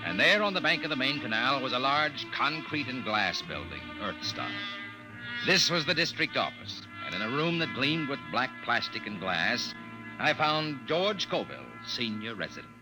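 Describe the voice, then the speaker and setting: Actor as an expressive, curious young officer. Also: male voice, mid-range voice, moderate pace, music in the background, close to an everyday voice, low-quality recording. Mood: deferential. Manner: deferential, inquisitive, hesitant in dialogue. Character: polite, curious young junior officer, narrating his story. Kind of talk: 1950s radio acting and dramatic narration. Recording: vintage 1950s radio drama recording.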